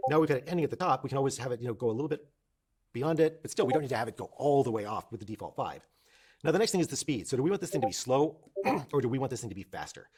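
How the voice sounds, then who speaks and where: A man instructing in a casual screen-share tutorial, thinking aloud and explaining informally, often restating points to make them clear. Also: medium pitch, a little mellow. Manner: informal, explanatory, repeats himself to clarify.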